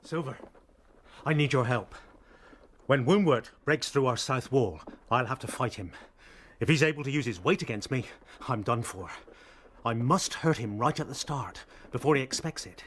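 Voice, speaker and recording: A man's voice: medium pitch, lively intonation, normal speed, fairly bright tone, a little hiss or hum, close to an everyday voice. A man playing a tough, defiant rabbit fighter in a radio drama, blunt and determined. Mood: resolute, planning. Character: tough, defiant male rabbit fighter defending his warren.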